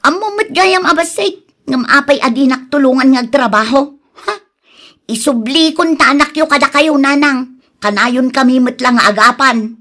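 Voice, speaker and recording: High-pitched, emotional young woman played by an actress. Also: normal speed, a bit bright. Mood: exasperated. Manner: emotional, pleading. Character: young woman, lovelorn, pleading, quick to complain. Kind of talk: Ilocano radio drama acting.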